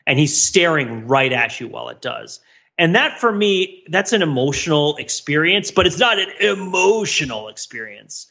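Bright-voiced analytical man, slightly dull recording. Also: on the high side, sing-song, normal speed, creaky. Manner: analytical, careful about fine distinctions. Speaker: podcast co-host. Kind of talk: casual film discussion.

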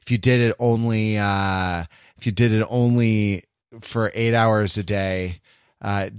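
A man hosting a podcast in casual, reflective chat, rambling and halting as he circles back over his phrases. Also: on the low side, steady tone, clean tone, no room sound, clean studio sound. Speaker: podcast host.

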